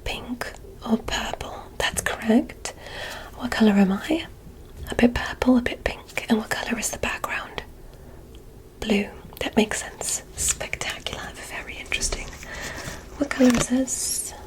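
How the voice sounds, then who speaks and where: A woman voicing a curious, polite alien examiner in a whispered ASMR roleplay, speaking in a soft, deliberate, clinical manner. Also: on the high side, natural voice, rough recording. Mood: curious, gentle.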